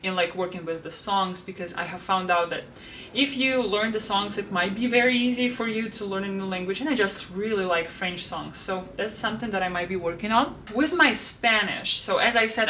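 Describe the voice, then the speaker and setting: A young woman talks through her plans in a loose, chatty way, circling back with "like" and "you know." Her voice is bright and sharp, picked up from a little distance. Faint background noise sits under it. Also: medium pitch, a little fast. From Russia. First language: Russian. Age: 20s. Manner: chatty, many fillers and restarts. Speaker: vlogger. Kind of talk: casual planner walkthrough. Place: home room.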